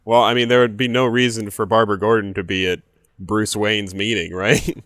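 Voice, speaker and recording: A man's voice: lowish voice, level tone, moderate pace, fairly bright tone, dry recording, clean studio sound. A man talking casually as a player in a tabletop role-playing game.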